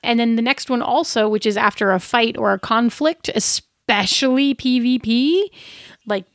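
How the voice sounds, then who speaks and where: A woman with a fairly high voice talks informally as a podcast co-host. Her delivery is casual and chatty, like advice shared in discussion, and the sound is close and dry. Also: normal speed, no echo.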